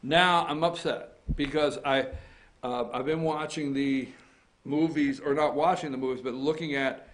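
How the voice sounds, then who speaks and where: A man hosting a casual morning talk show, with a voice pitched a little higher than most men's. He teases his co-host and cuts in on her, then turns to the viewers to point them where to look. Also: fairly even intonation, a little slow, fairly bright tone. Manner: teasing, banters and interrupts.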